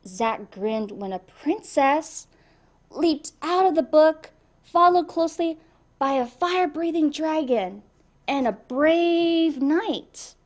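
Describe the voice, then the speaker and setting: Woman storybook reader for kids: high voice, unhurried pace, expressive delivery. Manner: expressive, addresses child listeners. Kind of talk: animated children's read-aloud.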